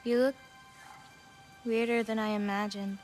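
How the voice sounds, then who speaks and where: A woman's voice: on the high side, flat delivery, unhurried, darkish tone, soft background music, natural voice. A woman playing an earnest, awkward teenage girl in a fantasy game, eager and a little lonely.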